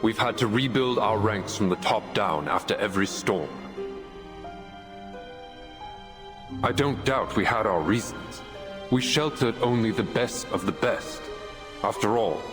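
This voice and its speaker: An actor playing an earnest, resolute military officer in a game, making a measured, formal and persuasive case to a tribunal. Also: male voice, fairly low pitch, expressive, lightly acted. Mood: firm, reasoned. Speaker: soldier defending himself at a tribunal. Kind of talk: dramatic game voice acting. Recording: long hall reverb, music behind.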